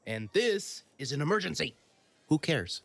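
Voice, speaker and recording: Guy playing a cynical practical rogue, lively pitch, dry studio sound, comedy audio drama. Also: normal speed, gravelly, dry recording, full character voice. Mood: dismissive. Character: cynical, pragmatic, roguish male adventurer.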